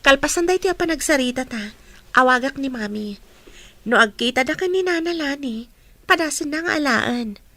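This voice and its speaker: A woman's voice: high-pitched, animated, moderate pace, bright, forceful tone, dry recording, heavily acted. A woman playing a helpful, coaxing young village daughter in a radio drama. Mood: teasing. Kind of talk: Ilocano radio drama acting.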